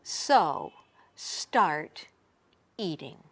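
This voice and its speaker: A wise, firm village woman from a storybook played by a woman reader hands down her judgment calmly. She speaks slowly and deliberately, with expressive rises and falls in pitch. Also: slightly rough, heavily acted.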